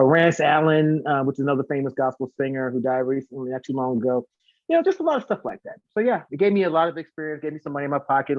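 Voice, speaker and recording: An American man, an interview guest and singer, telling casual anecdotes in an animated way and acting out the dialogue. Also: on the high side. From St. Louis, United States. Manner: animated, acts out quoted dialogue. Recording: echoey, dull lo-fi audio.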